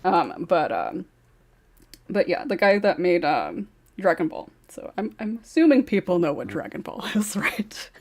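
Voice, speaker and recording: A woman's voice: on the low side, very animated, a little slow. A woman who writes ASMR roleplay scripts, chatting casually on a podcast with frequent laughs and fillers.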